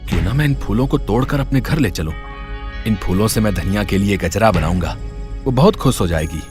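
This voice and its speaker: A man acts out a poor, kindly woodcutter in a storybook tale. He is earnest and wide-eyed, with a very lively pitch. Music plays clearly behind the voice. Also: a little fast, lightly acted. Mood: pleased. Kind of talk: Hindi moral story voice acting.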